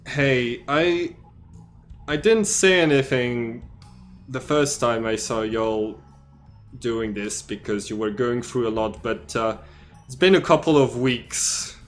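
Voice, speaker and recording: A man playing a fed-up male engineer who is done with everyone around him. He grumbles in an even, low-key way. His voice sits at a middle pitch. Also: slow pace, soft background music, close to an everyday voice. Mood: exasperated. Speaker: non-player character. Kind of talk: tabletop game roleplay.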